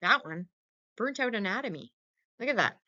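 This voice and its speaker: A slightly muffled, lo-fi recording carries a woman giving an informal lecture. Her pitch sits in the middle range for a woman. She explains things conversationally, often checking in with short questions to her students. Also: lively intonation, normal speed, sharp tone. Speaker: lecturer teaching veterinary radiography. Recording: dull, lo-fi voice-over recording.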